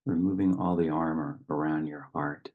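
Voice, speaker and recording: A man speaking as a meditation guide and poetry reader, in slow, measured phrases with long pauses and a husky, gravelly voice. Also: rumbling low, fairly even intonation, phone-quality sound.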